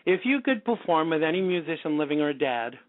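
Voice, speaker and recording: A man's voice: a little high, sing-song, brisk, no echo. A male podcast host in a casual interview chat, talkative and self-deprecating, laughing often. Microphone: call-in radio show line. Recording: tinny phone-line sound.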